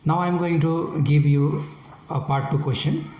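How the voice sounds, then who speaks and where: A man with a Nepali accent acting as a speaking-test examiner, asking formal interview questions slowly, with hesitant fillers. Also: fairly high pitch, steady tone, darkish tone. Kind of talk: scripted exam interview questions. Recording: echoey room with faint noise.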